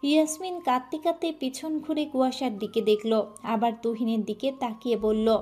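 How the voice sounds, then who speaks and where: A woman's voice: a little high, fairly even intonation, normal speed, mellow. A woman narrating a romantic family story in an emotional, earnest style.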